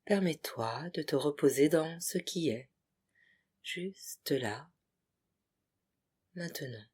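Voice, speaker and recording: A yoga nidra guide leading a relaxation in short, separated phrases, soothing and hushed, with a breathy voice that at times nears a whisper. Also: medium pitch, expressive, slow.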